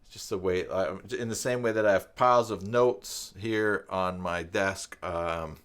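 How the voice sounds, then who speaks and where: A man hosting an informal screen-share tutorial, thinking aloud and reading out documentation in a halting, self-interrupting way. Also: low-pitched, a bit bright, clean tone. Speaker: live stream host giving a web tutorial. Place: at a desk by a computer.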